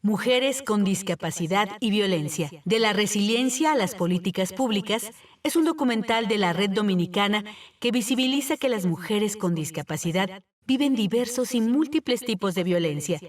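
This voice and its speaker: A woman announcer reading scripted segment narration with a clear cadence. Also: medium pitch, lively intonation, normal speed. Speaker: program announcer. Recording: distant voice over music.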